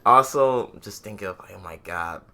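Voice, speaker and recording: A man's voice: fairly low pitch, measured pace, sharp tone. A man co-hosting a podcast in casual chat about movies, speaking bluntly with some swearing and often repeating his phrases. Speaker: podcast host.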